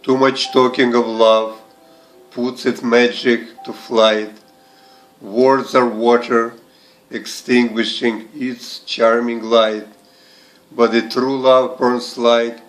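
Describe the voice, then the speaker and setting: A man reading verse aloud in a measured recitation, in short deliberate phrases set apart by pauses. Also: medium pitch, rough recording. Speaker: poetry reader and translator. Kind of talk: slow, measured poetry recitation.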